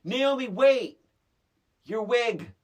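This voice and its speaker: A woman's voice: a little high, drawn-out words. A woman co-hosting a podcast, telling stories about a movie in a blunt, emphatic, casual comedic way. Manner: blunt, emphatic, expressive storytelling. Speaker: podcast co-host.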